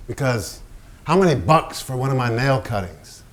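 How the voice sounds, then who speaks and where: A man performing as a brooding, hard-drinking painter in a staged play reading. His mid-pitched voice is blunt and menacing, with a streak of self-pity, as he moves between narration and dialogue. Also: expressive, moderate pace, fairly bright tone, lightly acted. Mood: mocking, drunk. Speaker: stage actor.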